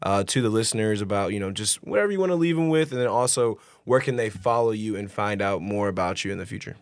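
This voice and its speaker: A man's voice: lowish voice, lively intonation, fast talker, dry recording. A man in his twenties co-hosting a podcast, chatting in a friendly way and reminiscing, laughing often. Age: 20s. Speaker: podcast co-host. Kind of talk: casual interview talk.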